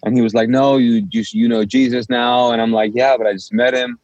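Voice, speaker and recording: A man's voice: medium pitch, fairly quick, no echo, muffled recording. A man whose first language is Urdu, a pastor telling his story as a guest in a casual interview and acting out the dialogue. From Kuwait. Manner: animated storyteller, acts out quoted dialogue.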